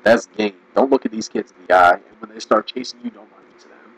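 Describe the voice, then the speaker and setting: A man giving casual, rambling commentary, restarting sentences and laughing often, his voice going hoarse. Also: lowish voice, quick pace, rough recording. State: losing his voice. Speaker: video commentator.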